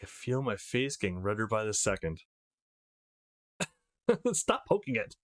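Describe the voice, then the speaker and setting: A man's voice: lowish voice, very animated, unhurried. A man playing an earnest, easily embarrassed young man in a visual novel, with self-conscious inner monologue. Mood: flustered. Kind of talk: visual novel character reading.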